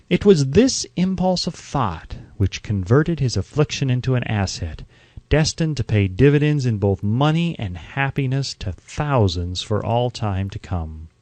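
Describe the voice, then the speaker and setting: A man reading an audiobook from a script in a measured, formal, earnest way, close to the microphone. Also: mid-range voice, darkish tone, no room sound. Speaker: audiobook narrator.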